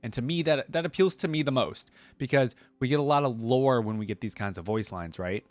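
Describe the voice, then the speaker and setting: A man's voice: medium pitch, a little fast, dry recording. A man hosting a reaction video, commenting casually in an informal, slangy, rambling way.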